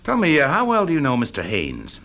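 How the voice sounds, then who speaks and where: An actor with an English accent playing a shrewd, genial, commanding fire investigator in a classic radio drama, polite but probing. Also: male voice, on the high side, huge pitch swings, a little fast, fairly bright tone, full character voice. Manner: polite, probing, confidently in charge.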